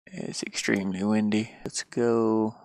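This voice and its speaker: A slow, creaky voice talks through computer work in a casual, halting murmur, as if half to themselves. The sound is close and dry, in the manner of an informal screen-share. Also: mid-range voice, level tone, a little mellow, dry recording. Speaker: indie game developer. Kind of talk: casual screen-share chat while working. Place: inside a van.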